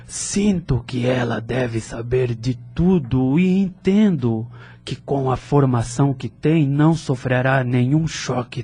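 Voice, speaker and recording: A man acting a middle-aged grieving widower in an audio drama, with a husky, breathy voice, a Brazilian accent and a halting, emotional, formal delivery. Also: mid-range voice, darkish tone, exaggerated voice. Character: grieving, tender widower, deeply moved gentleman.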